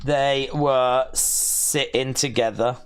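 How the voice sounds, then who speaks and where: A man's voice: mid-range voice, steady tone, slow pace, clean tone. A man hosting a casual wrestling watch-along stream, reading viewer messages aloud and teasing them playfully. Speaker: live-stream host reading chat.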